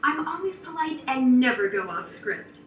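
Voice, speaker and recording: A woman's voice: high-pitched, sing-song, moderate pace, a bit bright, distant mic, slight background noise. A woman playing a chirpy virtual receptionist. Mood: cheerful. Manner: chirpy, scripted sales patter. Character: upbeat polite young female virtual receptionist. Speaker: virtual assistant demo voice. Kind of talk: scripted promotional lines. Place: large showroom. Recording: played back in a roomy showroom, heard from afar.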